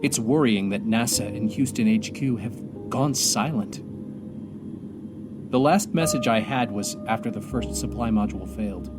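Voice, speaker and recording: A man playing a weary, grieving astronaut commander stranded alone, speaking an earnest, halting audio drama monologue. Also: fairly low pitch, music in the background, close to an everyday voice. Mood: worried.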